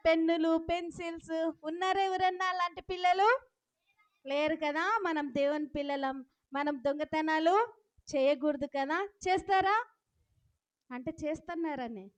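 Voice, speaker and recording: A woman's voice: sits high, a little mellow. A woman hosting a children's puppet show, talking playfully to the children and the puppet and often asking them questions. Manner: instructive, addresses children with questions.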